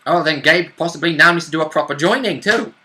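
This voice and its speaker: A man's voice: a little high, quick pace, sharp tone. A man chatting as a player in a tabletop game, blunt, joking and free with swearing. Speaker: player in a tabletop roleplaying group. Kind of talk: casual banter about a tabletop game.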